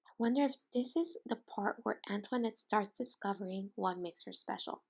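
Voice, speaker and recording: A woman teacher doing an interactive storybook read-aloud, expressive and deliberate, pausing to put questions to young listeners. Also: mid-range voice, gravelly, husky. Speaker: teacher reading aloud to children.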